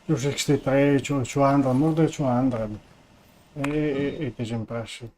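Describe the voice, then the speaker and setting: Mellow-voiced, halting game streamer. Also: medium pitch, slight background noise, low-quality recording. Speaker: live streamer. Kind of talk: casual chat while gaming. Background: game music.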